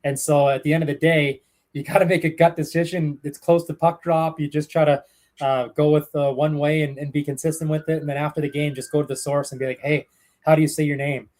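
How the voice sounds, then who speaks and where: A young man with a Canadian accent talks as an interview guest, rambling through behind-the-scenes stories. His voice sits a bit high for a man. He fills pauses with ums and uhs and restarts his sentences often. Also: flat delivery, darkish tone. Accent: Canadian English. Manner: chatty storyteller, many fillers and restarts. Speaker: hockey play-by-play broadcaster, guest. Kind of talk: casual interview answers.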